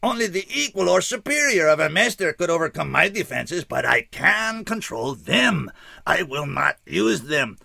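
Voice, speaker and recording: Anguished formal alien giant, high and gravelly. Dry sound. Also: male voice, very animated, normal speed, sharp tone, dry recording, full character voice. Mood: desperate. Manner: stiff formal diction, rising to shrieks.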